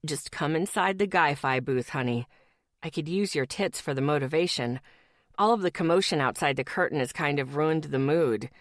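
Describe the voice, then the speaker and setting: A woman voicing a cocky, teasing young boyfriend with a smug, slow drawl, her voice pitched down for him. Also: no echo, clean studio sound, close to an everyday voice.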